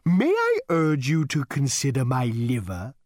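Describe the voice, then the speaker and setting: High, drawn-out, fawning cow; genteel and servile, audiobook character. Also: male voice, huge pitch swings, a little mellow, no echo, clean studio sound. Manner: slow, genteel, ingratiating waiter-like delivery. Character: polite, eager talking cow offering itself as dinner.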